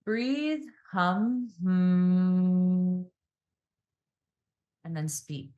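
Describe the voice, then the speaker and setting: A woman speaking as a podcast guest and speaking coach, calm and reflective, slowing down and shifting her voice to demonstrate. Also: lo-fi sound. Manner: calm, varies pace and pitch to demonstrate voice.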